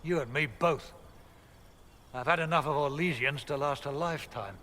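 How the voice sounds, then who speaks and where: An actor playing a blunt, exasperated, foul-mouthed mercenary captain in a video game. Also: male voice, on the high side, normal speed, sharp tone, long reverb tail, slight background noise.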